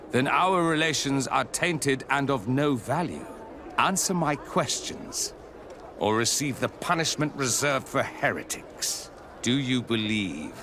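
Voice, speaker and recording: A man's voice: mid-range voice, noisy background. A man playing a fanatical, menacing inquisitor in a fantasy game, pompous and preaching in a commanding way. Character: fanatical, menacing male inquisitor of a zealous church. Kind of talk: fantasy video game acting.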